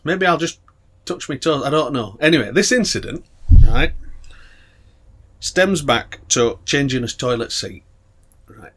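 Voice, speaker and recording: A middle-aged man, chatting on a podcast. His voice is bright and lively, and it swings widely in pitch as he tells crude tales and breaks into laughter. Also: measured pace, long pauses, breathy edge. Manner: crude, laughing storyteller, gets loud when worked up. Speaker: podcast co-host. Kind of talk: casual banter.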